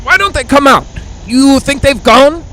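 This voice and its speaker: A man's voice: very high voice, animated, measured pace, pushed and bright, a little hiss or hum, full character voice. A man playing a grumpy, anxious, penny-pinching property owner in a 1940s-style radio drama, curt and suspicious. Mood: uneasy.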